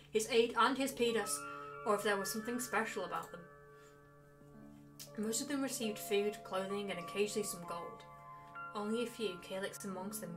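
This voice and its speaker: A woman is streaming a story game and reading it aloud. Her delivery is playful and expressive, with a casual touch, in a medium-pitched voice. Music plays clearly behind her. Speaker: streamer playing a visual novel.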